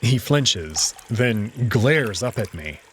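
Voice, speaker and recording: A man's voice: fairly low pitch, lively intonation, takes it slowly, fairly bright tone, slight background noise. A man reading a visual novel aloud as first-person dramatic narration.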